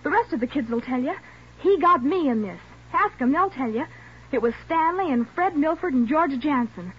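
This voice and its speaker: An actress playing a defiant, brash nineteen-year-old gang suspect, sharp-tongued and blaming others, in a 1940s radio drama. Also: female voice, sits high, expressive, normal speed. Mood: indignant. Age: young adult. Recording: noisy, muffled 1940s radio recording.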